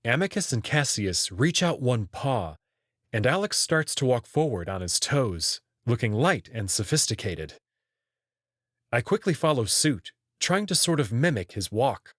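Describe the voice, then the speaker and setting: A man reading a visual novel aloud in the first person, expressive and prone to sudden outbursts. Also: mid-range voice, unhurried, no echo, clean studio sound.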